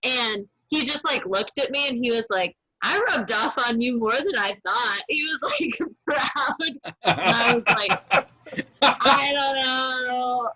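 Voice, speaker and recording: Bright, bubbly woman on a video call. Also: a little high, takes it slowly, rough recording. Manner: bubbly, laughs often, voice that cuts through. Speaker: improv troupe member. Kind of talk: casual chat. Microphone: video call mic.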